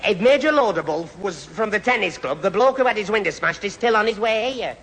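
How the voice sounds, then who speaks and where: A man's voice: high voice, expressive, brisk, a bit bright. A man playing a dim, muddled, put-upon lad, stammering through a 1970s radio sitcom. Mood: sulky. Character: dim, flustered, put-upon lad posing as someone's dad. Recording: noisy old radio recording, audience behind.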